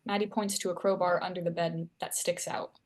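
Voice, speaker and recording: A woman's voice: lowish voice, little pitch movement, fairly quick. A woman reading scripted stage directions as the narrator of a screenplay table read.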